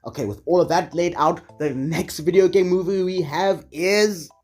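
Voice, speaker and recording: A video essayist with a slightly husky voice, delivering scripted movie commentary in a conversational way with casually wry asides. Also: mid-range voice, moderate pace, over music.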